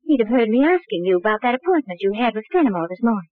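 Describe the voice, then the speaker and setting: Guarded young widow, tinny old radio. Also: female voice, fairly high pitch, very animated, racing, no echo. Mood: urgent, tense. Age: young adult. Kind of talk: 1940s radio crime drama acting.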